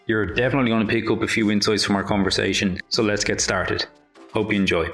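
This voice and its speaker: A man hosting a podcast, reading a scripted introduction and then asking relaxed questions. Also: on the low side, quick pace, faint music behind. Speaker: podcast host.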